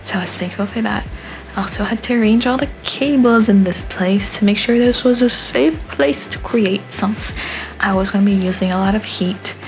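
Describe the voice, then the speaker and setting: A young woman narrates her vlog in a soft, rambling voice-over. Her voice sits at an ordinary middle pitch. She often pauses on filler words, and faint hiss runs under the recording. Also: low-quality recording. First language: Haitian Creole. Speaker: art vlogger.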